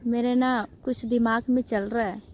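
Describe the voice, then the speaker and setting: A slightly high-pitched woman acting as an unhappy married sister-in-law in a phone-call drama. She is coy and flirtatious, chatting casually in short turns. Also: steady tone, normal speed, darkish tone, a little hiss or hum, natural voice. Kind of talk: staged phone-call role-play, casual chat.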